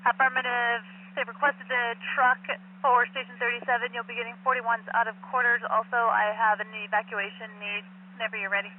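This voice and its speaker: A woman's voice: fairly high pitch, little pitch movement, a little fast, hardly any pauses. A woman working as a fire dispatcher, relaying messages over two-way radio in a procedural, matter-of-fact way. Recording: tinny phone-like dispatch radio, faint noise.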